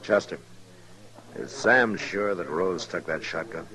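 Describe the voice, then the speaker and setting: A steady western lawman with an extremely deep voice. He speaks in few, measured words with calm authority. It sounds like an old radio drama, with a busy crowd behind him. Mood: grim, wary. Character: steady, laconic frontier town marshal.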